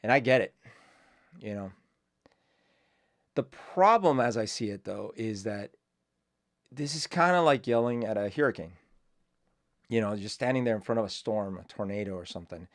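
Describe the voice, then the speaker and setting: A man's voice: lowish voice, animated, normal speed, pauses often. A man who grew up in Colombia hosts his own show, giving reflective, rambling commentary full of fillers and restarts. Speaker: host. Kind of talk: casual commentary.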